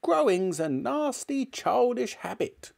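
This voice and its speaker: A man's voice: extremely high pitch, very animated, takes it slowly, creaky, darkish tone, voice pushed up, heavily acted. A man voicing a nasty, tiny old grandmother who scolds bossily in a storybook reading. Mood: scornful, snapping. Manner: scolding, snapping, relishing the disgusting.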